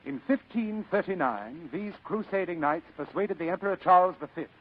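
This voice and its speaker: An actor playing a genteel, scheming fat crime boss in old radio drama style, pompous, florid and ornately courteous, with a husky voice. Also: male voice, sits high, lively intonation, normal speed. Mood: grand, savoring. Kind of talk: 1940s-style radio acting. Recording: muffled old radio recording.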